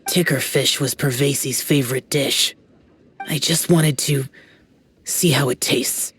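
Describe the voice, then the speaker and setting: Actor as stern male demon slayer, immortal, medium pitch, slow delivery, solemn, solitary, video game. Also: steady tone, a bit bright, slight background noise, lightly acted. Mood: reserved. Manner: terse, solemn, detached.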